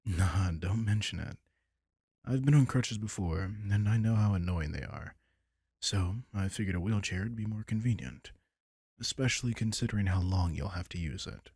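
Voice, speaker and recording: A man playing a caring, wry vampire king in an intimate comfort roleplay audio drama, talking casually and softly with dry teasing. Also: sits low, moderate pace, breathy edge, dry recording. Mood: matter-of-fact, informative.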